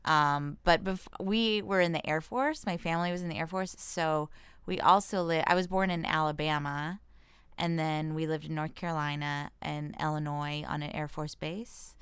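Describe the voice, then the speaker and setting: An American woman talks as a guest in a casual interview. Her voice is low and very smooth, recorded close and dry. She is informal and self-deprecating, working out her answers aloud. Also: fairly even intonation, normal speed, a bit bright, no echo. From Washington state, United States. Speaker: comedian and actress, interview guest. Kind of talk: casual chat.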